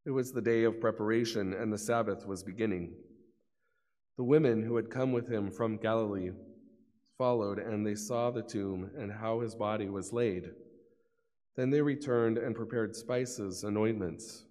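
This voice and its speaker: A man's voice: fairly low pitch, darkish tone. A middle-aged man giving a formal, solemn narration of scripture at a church lectern. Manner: formal, deliberate phrasing. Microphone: gooseneck lectern microphone. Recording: long church reverb.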